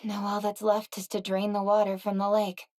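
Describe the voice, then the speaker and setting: An actress voicing a cautious adult woman in a game cutscene, speaking in a measured way. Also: medium pitch, completely flat delivery, darkish tone, natural voice. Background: game music.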